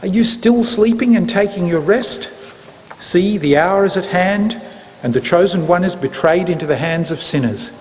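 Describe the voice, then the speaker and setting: A man reading the part of Jesus as a grave, resolute teacher in a solemn, measured dramatic scripture reading. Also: high-pitched, animated. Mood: grave, urging. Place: church. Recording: reverberant church hall, background noise.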